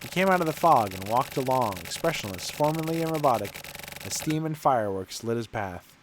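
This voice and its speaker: A man reading game narration aloud in a measured, dramatic storytelling way. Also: mid-range voice, lively intonation, background noise.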